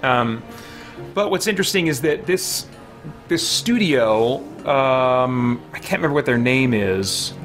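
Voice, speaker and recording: A man hosts a gaming live stream with a middle-pitched voice. He thinks aloud in a casual, rambling way, often correcting himself, with faint music playing behind him. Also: lively intonation, a little slow. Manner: rambling, self-correcting, chatty. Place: small home room, indoors. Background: game music.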